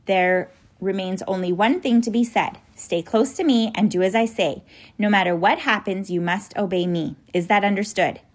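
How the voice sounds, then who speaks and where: A woman voicing a kindly, grave male professor in a children's storybook, speaking in an earnest, measured, instructing way. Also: medium pitch, lightly acted. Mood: grave, resolute.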